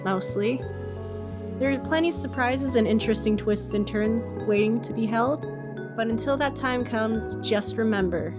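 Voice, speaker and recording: A woman's voice: fairly high pitch, moderate pace. A woman reading scripted podcast credits and outro in a playful, wry manner. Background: music behind the voice.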